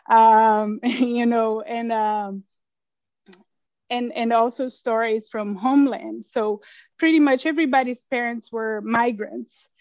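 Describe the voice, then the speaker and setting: Slow, thoughtful woman. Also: on the high side, no room sound, dull audio. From Brazil. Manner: thoughtful, many restarts and soft laughs. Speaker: interviewed writer. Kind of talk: casual interview conversation.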